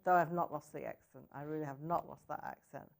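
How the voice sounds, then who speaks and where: A woman's voice: deep voice, steady tone, fairly quick, creaky. A middle-aged woman from northern England with a Sheffield accent, chatting as a guest in an interview in an upbeat way. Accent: Sheffield English. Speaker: guest, city economic development director. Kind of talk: casual interview chat.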